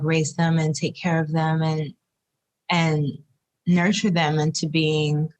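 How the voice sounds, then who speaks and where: A young woman from Trinidad, a doula and guest, talking reflectively in a casual interview with many fillers and restarts. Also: low-pitched. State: three months postpartum.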